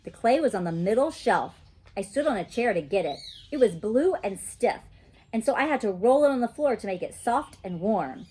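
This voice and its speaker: Animated woman reading a children's storybook, slightly husky. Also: fairly high pitch, moderate pace. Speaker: teacher reading a story aloud. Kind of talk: expressive children's storybook read-aloud.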